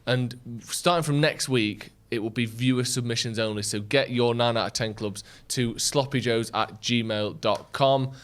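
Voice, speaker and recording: A man's voice: medium pitch, measured pace, few pauses, a bit bright, clean tone. A man co-hosting a podcast, teasing his friends and rambling through jokey asides in casual banter. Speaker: podcast co-host.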